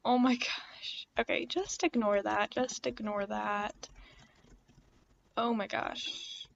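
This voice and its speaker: A woman's voice: medium pitch, steady tone, slow pace, creaky, highs cut off, a little hiss or hum. A woman hosting a gaming video with casual, chatty commentary, exclaiming informally and sometimes reading out game text. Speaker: gaming video creator and host. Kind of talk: casual gameplay commentary with read-aloud quest text.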